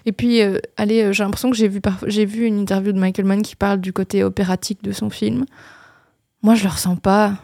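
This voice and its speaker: A woman's voice: medium pitch, little pitch movement, fairly quick, vocal fry, dark tone, no echo, clean studio sound. A woman with a Belgian French accent, casually reviewing films as a podcast co-host, hesitant with many fillers and restarts. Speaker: film critic co-host. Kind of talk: casual film review chat.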